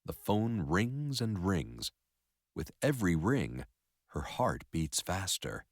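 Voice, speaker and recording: A man narrating a story in an expressive educational read-aloud style. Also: deep voice, slow pace, no room sound, studio quality.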